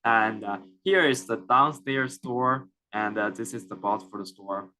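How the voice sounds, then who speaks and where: A young man from China with a Chinese accent, giving a class presentation in a halting way with many fillers and restarts. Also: on the low side, pushed and bright. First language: Mandarin Chinese. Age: young adult. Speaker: student presenter.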